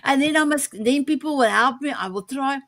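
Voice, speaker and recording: Talk show host, Afrikaans-native woman, higher voice, dry sound, hesitant and animated. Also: fairly quick, dry recording. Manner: hesitant, repeats words, animated. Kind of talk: casual talk show chat.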